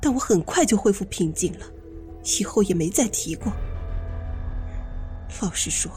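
A woman's voice: medium pitch, lively intonation, moderate pace, a little mellow, soft background music, natural voice. An actress playing a bitter, guarded bereaved mother, suspicious and defensive, in an audio drama. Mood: defensive, agitated.

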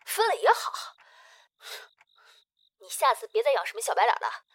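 High, bright, animated young woman best friend. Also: moderate pace, husky, dry recording, studio quality, exaggerated voice. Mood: urging, hinting. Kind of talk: modern audio drama acting.

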